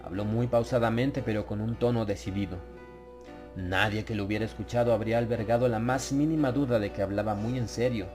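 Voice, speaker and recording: A man's voice: lowish voice, steady tone, brisk, over music. A man narrating a dramatized horror audiobook.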